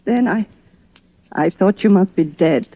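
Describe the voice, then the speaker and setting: An actress playing a tender, anxious middle-aged wife, earnest and emotional in 1940s radio melodrama. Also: female voice, mid-range voice, animated, measured pace, dry recording. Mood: emotional explaining. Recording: muffled, narrow old radio recording.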